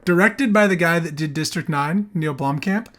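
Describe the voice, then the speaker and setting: A man's voice: fairly high pitch, normal speed, a bit bright. A man co-hosting a podcast, running through entertainment news in casual, enthusiastic chat with some easy swearing. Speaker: podcast co-host.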